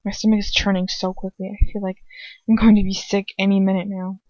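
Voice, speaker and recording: A woman reading first-person fiction aloud as an amateur audiobook narrator, in an expressive storytelling style. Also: medium pitch, fairly quick, no echo. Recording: dull lo-fi dry home recording.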